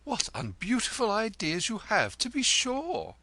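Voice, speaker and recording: A man's voice: sits high, wildly swooping pitch, measured pace, sharp tone, dry recording, voice pushed up, exaggerated voice. A man voicing a vain, flowery poet lodger who talks theatrically and pities himself. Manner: flowery, theatrical, self-pitying. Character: florid vain self-pitying male poet lodger.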